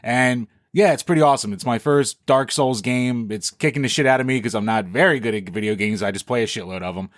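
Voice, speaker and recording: A man's voice: mid-range voice, brisk, hardly any pauses, no room sound, studio quality. A man guesting on a podcast, chatting in an easygoing, self-deprecating way. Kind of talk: casual chat.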